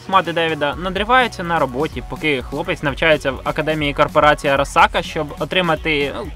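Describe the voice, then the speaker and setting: A man narrates a video essay at a quick pace in a fairly high voice. His delivery is blunt and sardonic, full of slang and self-mockery. Music plays underneath. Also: sharp tone. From Ukraine, grew up in a village. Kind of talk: scripted video review narration with slang and swearing. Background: background music.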